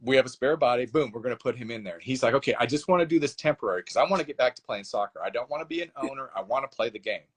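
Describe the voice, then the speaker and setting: A man with a mid-range voice chats about his novel in a relaxed, conversational way. He tells the story with energy, often putting on quick voices for the characters. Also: medium pitch, slightly rough. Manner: animated storyteller, acts out dialogue with laughs. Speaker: author guest. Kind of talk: casual interview chat.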